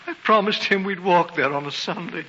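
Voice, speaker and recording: High-voiced, tender, humble father. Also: normal speed, muffled recording. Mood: grieving. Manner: gentle, earnest, heartfelt. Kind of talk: 1940s radio drama acting. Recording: hissy, reverberant old radio recording.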